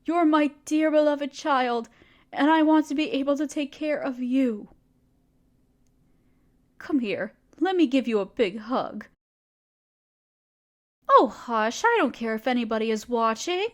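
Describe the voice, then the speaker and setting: A woman's voice: high-pitched, animated, unhurried, long pauses. A woman voicing a doting spider queen. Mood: tender. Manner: soft, patient, doting and reassuring. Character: gentle motherly female spider queen, protective. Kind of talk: audio roleplay acting.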